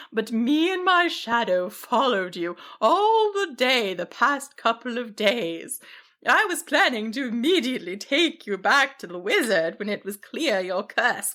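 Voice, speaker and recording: A big protective storybook dragon who speaks slowly and loudly, stretching her words. A woman plays her with a gravelly, bright edge. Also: exaggerated voice. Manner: slow, drawn-out and booming. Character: big kind but fearsome female dragon, protective.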